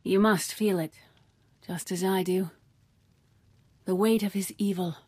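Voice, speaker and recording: A woman playing a serene, solemn elder Jedi mother in a video game cutscene, speaking in a measured, gently admonishing way. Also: mid-range voice, steady tone, breathy edge, no echo. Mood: solemn relief.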